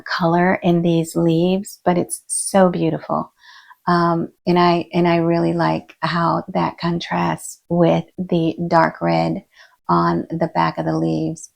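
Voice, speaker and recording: A hoarse woman with a gravelly, low voice talks slowly and softly. She chats relaxed and unhurried, with plenty of little fillers. Her pitch stays flat and even. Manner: relaxed, soft-spoken, many fillers. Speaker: plant channel host. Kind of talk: casual vlog chat while repotting. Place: indoor plant room.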